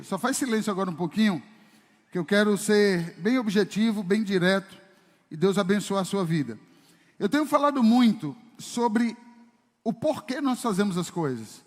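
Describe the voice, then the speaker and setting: A man preaching a sermon as pastor, emphatic and full of rhetorical questions to the congregation. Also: high-pitched. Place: church service hall. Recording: long reverb of a church hall.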